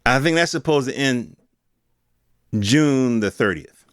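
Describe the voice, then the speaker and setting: A man's voice: medium pitch, lively intonation, slow, fairly bright tone, smooth, no room sound, studio quality. An American man in his fifties giving a casual, opinionated solo monologue in emphatic, slangy, conversational speech. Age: 50s. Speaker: podcast host.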